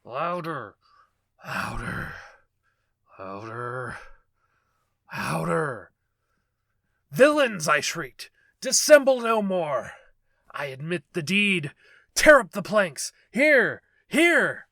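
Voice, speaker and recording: A man playing a mad murderer narrating a gothic horror story in a theatrical, deliberate, intense manner. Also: a little high, big pitch swings, creaky, sharp tone, no echo, studio quality, pitched above the performer's own voice, full character voice. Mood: desperate, crazed. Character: mad murderer narrator, smug then frantic and guilt-ridden.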